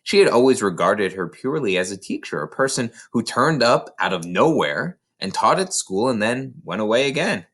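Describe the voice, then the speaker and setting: A man's voice: on the low side, big pitch swings, moderate pace, few pauses, fairly bright tone. A man reading a children's novel aloud as scripted narration. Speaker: storybook reader.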